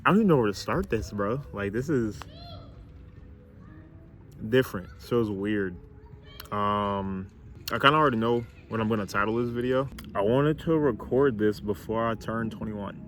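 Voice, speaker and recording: Low-voiced young man musing aloud. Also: moderate pace, a bit bright. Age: 20s. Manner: rambling, hesitant, thinking aloud. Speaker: vlogger. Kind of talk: casual reflective vlog. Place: outdoors near a car with a city view.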